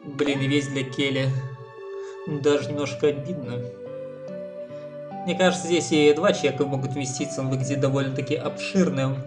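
Chatty man over soft game music. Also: medium pitch, steady tone, moderate pace, pauses often. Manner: casual, chatty commentary. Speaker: let's play host. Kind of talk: game playthrough with live dubbing.

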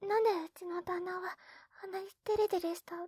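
A woman playing a sweet, clingy young wife in an intimate binaural ASMR roleplay, speaking softly and breathily close to the mic. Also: very high-pitched, steady tone, quick pace, no room sound. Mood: flustered, tearful. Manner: hesitant, soft, breathy, clingy. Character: sweet, tipsy, jealous young wife doting on her husband. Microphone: close binaural dummy-head microphone.

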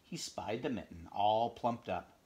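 A middle-aged man reading a picture book aloud at home, expressive, pausing to chat about the pictures and dropping into whispers. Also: fairly low pitch. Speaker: read-aloud storyteller. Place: home room, indoors.

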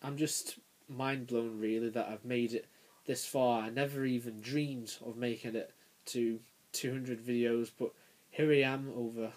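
A young man around nineteen giving a casual, unscripted review as a video creator, halting and pausing mid-phrase. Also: mid-range voice, steady tone, darkish tone. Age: young adult, about 19. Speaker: YouTube toy reviewer. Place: small bedroom, indoors. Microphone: handheld camera's built-in mic.

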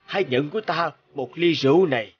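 An actor playing a grateful, dignified older mandarin in a cartoon folk tale, formal and courteous. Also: male voice, a little high, brisk, breathy edge, muffled recording. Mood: pleased, gracious. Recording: music under the voice.

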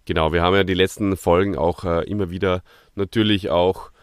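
A man hosting a casual podcast review chat, speaking in halting, hesitant phrases. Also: deep voice, steady tone, clear, resonant tone, dry recording, studio quality. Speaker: podcast host.

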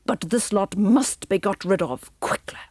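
A woman reading a storybook aloud and voicing a cruel, fur-obsessed rich woman who gives orders in a shrill, imperious voice. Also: a little slow, darkish tone, no room sound, studio quality. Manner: imperious, shrieking, gleefully cruel.

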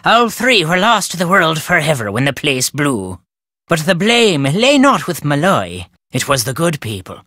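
An actor playing a crotchety, superstitious old Irish caretaker in a video game, telling tales in a folksy, ominous, emphatic way. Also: male voice, a little high, wildly swooping pitch, a little slow, bright, forceful tone, no room sound, clean studio sound, exaggerated voice. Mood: ominous storytelling. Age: older. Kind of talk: theatrical storytelling game dialogue.

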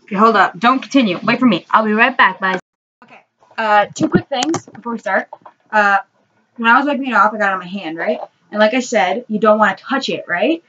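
A woman's voice: medium pitch, fairly quick, sharp tone, dull audio, slight background noise. A teenage girl casually reviewing a toy in her bedroom, explaining each step in a chatty way, recorded on a webcam microphone. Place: bedroom, indoors, seated on the floor. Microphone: built-in webcam mic.

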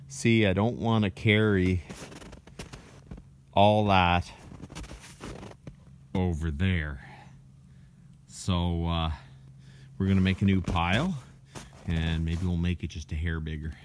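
Low-voiced man chatting outdoors in snowy woods. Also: a little mellow, slight background noise. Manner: chatty, thinking aloud between chainsaw cuts. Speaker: homesteader vlogger. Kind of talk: casual talk to camera while working.

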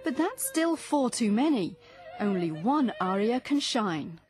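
A woman's voice: a little high, very animated, measured pace. A woman television reporter reading scripted narration. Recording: music under the voice. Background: classical music.

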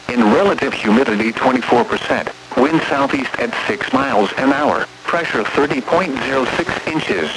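A synthetic American male voice reading a scripted weather bulletin in a formal, impersonal way as an automated radio announcer. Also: mid-range voice. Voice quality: synthetic text-to-speech voice. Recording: noisy weather radio broadcast reception.

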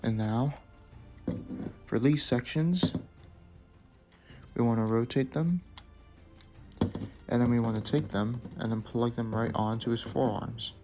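A man's voice: mid-range voice, unhurried, long pauses. A young man casually showing off a toy he built, giving step-by-step instructions with small asides and self-corrections. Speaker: toy builder reviewing his creation. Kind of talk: casual hands-on toy review narration. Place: basement room indoors. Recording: music playing in the background.

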